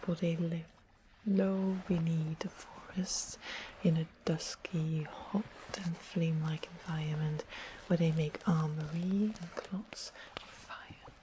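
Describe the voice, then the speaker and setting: A woman playing a sly, sweet-mannered witch in a whispered ASMR fantasy roleplay, explaining things slowly and deliberately, close to the microphone. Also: sits low, steady tone, mellow, low-quality recording. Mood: calm, sly. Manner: slow, deliberate, savoring explanations. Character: sweet-smiling, sly witch of the west, fantasy potion-keeper. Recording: close whispered recording with constant background noise.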